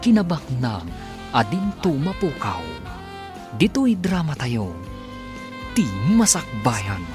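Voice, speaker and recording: A man's voice: fairly high pitch, sing-song, slow pace, music in the background. A man with an Ilocano accent announcing a radio drama in scripted broadcast narration.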